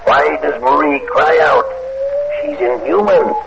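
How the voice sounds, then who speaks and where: Creaky, cackling old hermit. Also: male voice, fairly high pitch, measured pace, very noisy. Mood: gleefully ominous. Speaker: horror show host. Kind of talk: theatrical 1940s radio horror narration. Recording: muffled old radio recording. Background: loud music.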